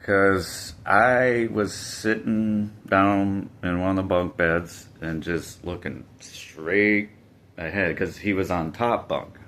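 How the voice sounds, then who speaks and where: A man's voice: low-pitched, fairly even intonation, slow pace, fairly bright tone. A man casually telling a personal story, hesitant, with fillers and restarts.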